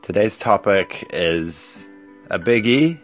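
A man's voice: fairly low pitch, animated, takes it slowly, highs cut off, soft background music. A man in his forties hosting a solo podcast, talking in a hesitant, rambling way with lots of ums and yeahs. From Germany. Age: 40s. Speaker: podcast host.

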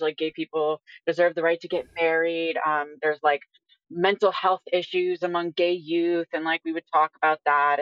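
A woman's voice: low voice, no echo. Young woman, dull lo-fi recording. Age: 20s. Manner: measured explaining, peppered with "like". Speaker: guest. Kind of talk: casual discussion. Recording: dull lo-fi microphone sound.

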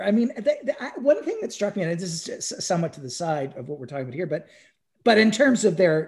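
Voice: mid-range voice, darkish tone. A podcast speaker in casual discussion of music history, stammering, repeating words and often checking with "right?". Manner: frequently tags phrases with "right?".

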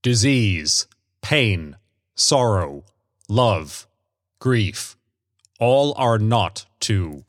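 Seeking a man to play a humorless artificial creature, harsh and droning, slowly proclaiming doom, storybook narration. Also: on the low side, dry recording, studio quality, exaggerated voice. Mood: portentous. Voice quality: harsh, monotonous. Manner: slow, portentous declaiming. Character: gray-faced menacing male golem, pompous and humorless.

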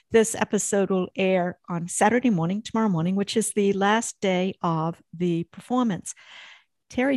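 A woman's voice: medium pitch, dry recording. A woman hosting a podcast, asking questions in a casual, conversational interview style.

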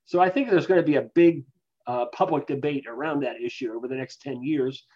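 A man with a mid-range voice speaks as an expert interview guest. He explains things in a measured, analytical manner, and the recording is somewhat dull and lo-fi. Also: medium pitch, darkish tone. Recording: dull, lo-fi voice capture.

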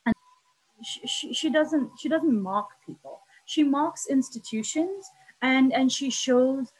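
A woman from Pakistan, a guest author who talks fast and often restarts her sentences in a casual interview. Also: high voice, slightly rough, low-quality recording. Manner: fast, restarting, stumbling over words.

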